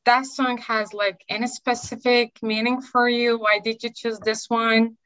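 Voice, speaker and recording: A woman interviewing a guest, warm and encouraging, with loose casual phrasing. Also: fairly high pitch, level tone, a little slow. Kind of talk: friendly interview. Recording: dull lo-fi sound.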